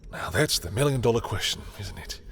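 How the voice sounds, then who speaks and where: Male actor as proud villain with powers, lowish voice, guarded and secretly smitten, intense halting confessional delivery for romantic audio drama, music underneath. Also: lively intonation, gravelly, fairly bright tone. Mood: angry, exasperated. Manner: intense, halting confession, swearing, sometimes dropping to whispers. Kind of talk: dramatic audio roleplay monologue addressed to the listener. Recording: music behind the voice.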